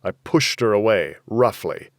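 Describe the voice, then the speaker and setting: Male narrator for noir thriller audiobook: brooding, slow-paced, very expressive range, tight dry studio sound. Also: fairly low pitch, no echo. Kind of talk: scripted first-person thriller narration.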